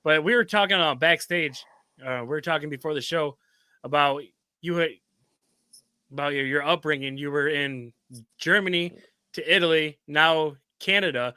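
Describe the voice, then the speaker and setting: A man's voice: fairly high pitch, moderate pace, sharp tone, clear, resonant tone, no echo. An American man hosting a casual interview show in an informal, rambling way. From United States. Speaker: talk show host.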